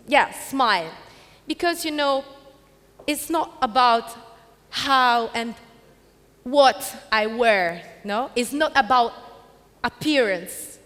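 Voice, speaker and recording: A woman speaking on stage in halting English, in short broken phrases. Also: fairly high pitch, a bit bright. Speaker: event speaker and presenter. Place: theatre stage. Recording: echoey hall, long reverb.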